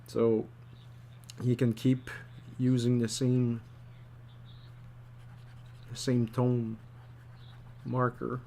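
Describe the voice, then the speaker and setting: A man giving an informal drawing tutorial as a storyboard artist, thinking aloud and haltingly repeating phrases as he works. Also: medium pitch, monotone, warm tone. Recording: clearly noisy background with hum.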